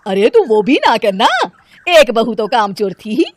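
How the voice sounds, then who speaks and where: A woman voicing a sarcastic, exasperated older mother-in-law in an animated story, scolding loudly. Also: fairly high pitch, measured pace.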